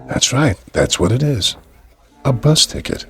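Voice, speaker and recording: An actor playing an elderly, courteous and cryptic peddler in a vintage radio drama, speaking in evasive riddles. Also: male voice, mid-range voice, expressive, measured pace, a bit bright, faint music behind. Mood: kindly, cryptic. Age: older. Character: mysterious elderly peddler, gentle, cryptic old man.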